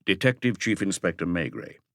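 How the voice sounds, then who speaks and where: Man reading as a deep, terse police chief inspector. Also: very animated, moderate pace, gravelly, dry recording, studio quality, heavily acted. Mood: terse, grave. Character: calm, authoritative male police chief inspector, courteous and terse. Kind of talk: audiobook character voice.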